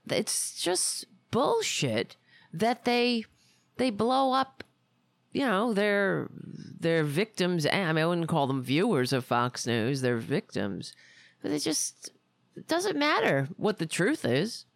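A woman's voice: on the low side, big pitch swings, slow pace, gravelly, dry recording. A woman hosting a political podcast in a rambling, indignant, sarcastic way, saying she is losing her voice. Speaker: podcast host. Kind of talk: casual political commentary.

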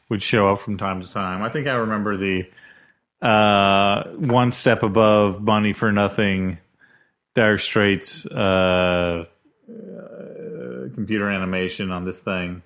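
Low-voiced hesitant man clearing his throat. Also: fairly even intonation, takes it slowly, fairly bright tone. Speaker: podcast guest. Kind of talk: casual chat.

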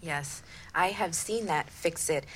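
Low-voiced woman in radio conversation. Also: slow, a little hiss or hum. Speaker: radio show co-host.

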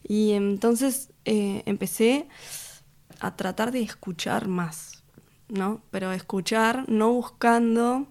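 A woman, a musician and record producer, talking through her work in an interview with a thoughtful, halting manner, full of fillers and restarts. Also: on the high side, darkish tone. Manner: thoughtful, hesitant, many fillers and restarts, laughs often. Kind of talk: casual interview conversation.

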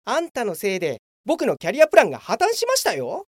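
A woman's voice: a little high, wildly swooping pitch, fast, breathy edge, no echo, clean studio sound, exaggerated voice. A woman playing a self-justifying, grumbling male office worker in a comedic radio drama. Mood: defiant, smug. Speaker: voice actor. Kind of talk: radio comedy drama acting with inner monologue.

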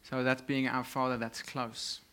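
Slow man preaching in short phrases. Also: medium pitch, flat delivery, fairly bright tone. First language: Afrikaans. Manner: speaks in short phrases, pausing for translation. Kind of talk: sermon with live interpreter.

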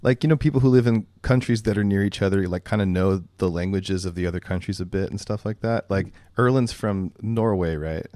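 A man asking casual, curious questions as an interviewer in a relaxed manner. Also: sits low, brisk, few pauses, no echo, clean studio sound.

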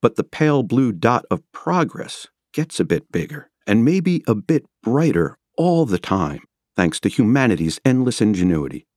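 A man reading nonfiction as a scripted audiobook narration, with measured, rhetorical and emphatic phrasing. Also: mid-range voice, big pitch swings, darkish tone, dry recording, studio quality. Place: close, dry studio recording.

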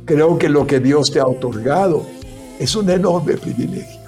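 A man's voice: a little high, moderate pace, warm tone, faint music behind. A man hosting a religious radio program, speaking in a solemn, affirming, sermon-like way to his guest. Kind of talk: religious broadcast interview.

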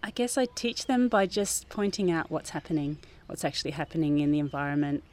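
A woman's voice: low-pitched, expressive, brisk, darkish tone. A woman who works as a garden teacher, explaining thoughtfully and earnestly in an informal interview outdoors. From New Zealand. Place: outdoors, under a gazebo in a school garden.

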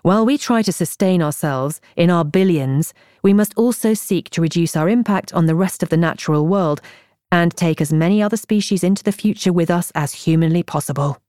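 A woman reading a nonfiction audiobook in a measured, articulate way, as a scripted narrator. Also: fairly low pitch, dark tone, no room sound, studio quality.

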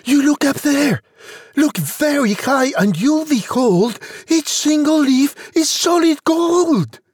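A man voicing an eager, cheeky and naive young boy in a high, boyish voice, earnest and exclaiming. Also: animated, slow, no room sound, studio quality, heavily acted. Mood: insistent.

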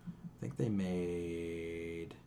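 A man's voice: low voice, drawn-out words, creaky, warm tone. A man co-hosting a podcast in casual, irreverent, profanity-sprinkled chat about games. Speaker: podcast co-host.

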